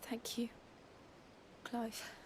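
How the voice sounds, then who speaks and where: A soft-spoken, guilt-burdened warrior. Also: female voice, drawn-out words, whispered. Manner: soft, quiet, earnest. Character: young woman warrior, quietly determined and burdened by guilt. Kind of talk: video game cutscene acting.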